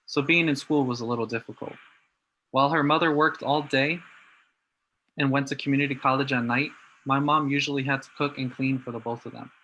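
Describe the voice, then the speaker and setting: A young man from New Jersey giving a prepared talk in an earnest, steady way. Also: mid-range voice, a little hiss or hum, low-quality recording. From New Jersey, USA. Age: young adult. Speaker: student speaker.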